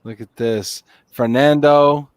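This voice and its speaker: A man's voice: mid-range voice, fairly even intonation, slow, smooth, dry recording, soft background music. Chatty man host greeting viewers. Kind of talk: live show hosting.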